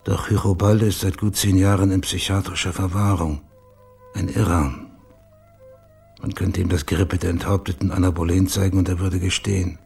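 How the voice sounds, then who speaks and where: Husky, low-voiced male detective. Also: mellow, full character voice. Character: brooding male private detective narrating his own case. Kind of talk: radio play monologue and dialogue.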